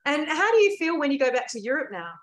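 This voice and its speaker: A woman interviewer asking direct, brisk questions of her guest. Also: high-pitched, big pitch swings.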